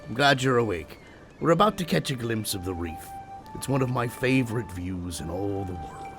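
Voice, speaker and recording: Animated, easygoing ship's captain. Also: male voice, on the low side, normal speed, faint music behind. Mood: cheerful, welcoming. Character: easygoing older male ship's captain, fond and teasing. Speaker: actor playing a ship's captain. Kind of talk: period audio drama acting.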